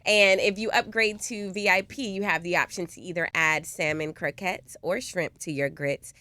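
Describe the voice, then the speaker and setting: Playful chatty woman, slightly low voice. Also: steady tone, moderate pace, sharp tone, no room sound. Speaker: podcast guest promoting an event. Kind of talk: casual conversational interview.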